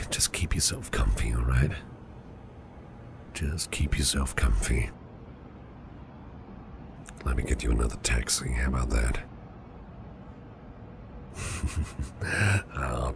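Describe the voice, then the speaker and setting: A man playing a caring, reassuring businessman boss in a soft, half-whispered ASMR roleplay, soothing and unhurried, gently flirtatious. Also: exaggerated voice. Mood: consoling, then caring, encouraging. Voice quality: very deep, gravelly, breathy half-whisper. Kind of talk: ASMR roleplay, personal attention. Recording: clearly audible background noise.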